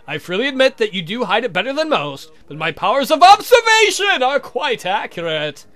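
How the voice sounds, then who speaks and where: A man's voice: very high-pitched, big pitch swings, fairly quick, pushed and bright, faint music behind, voice pushed up, full character voice. A man voicing a suave, haughty vampire count from a visual novel, speaking in a formal, courtly, pompous way. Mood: courtly, proud. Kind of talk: theatrical visual novel character reading.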